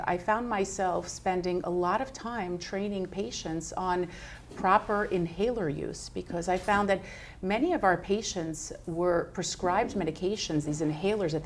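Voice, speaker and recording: Low-voiced woman doctor explaining calmly. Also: slight background noise. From Brooklyn, New York. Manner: clear, measured explainer with frequent "uh" fillers. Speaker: physician guest. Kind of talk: explanatory interview answers.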